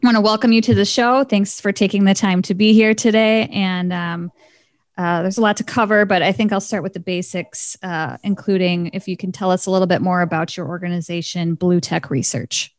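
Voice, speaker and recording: Low, clear-voiced woman hosting. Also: steady tone, a little fast, no room sound, clean studio sound. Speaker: interviewer, show host. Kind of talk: conversational interview hosting.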